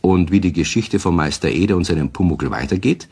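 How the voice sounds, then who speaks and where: A man playing the narrator of a children's radio play, telling the story directly to young listeners in a husky voice. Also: low voice, fairly quick, a little mellow, full character voice. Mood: animated, wry. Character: male storyteller addressing children.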